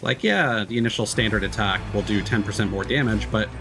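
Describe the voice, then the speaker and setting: A man with a fairly low voice commentates casually on a video game. He thinks aloud as he goes, rambling and hesitating, with clear music playing behind him. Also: brisk.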